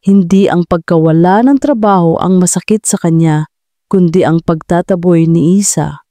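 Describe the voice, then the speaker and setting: A woman narrating a scripted audio drama in a measured, dramatic way. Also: on the low side, mellow, no echo. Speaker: storyteller.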